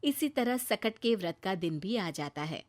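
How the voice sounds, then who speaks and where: A woman's voice: mid-range voice, very animated, unhurried. A woman reading scripted narration for a moral story as its narrator.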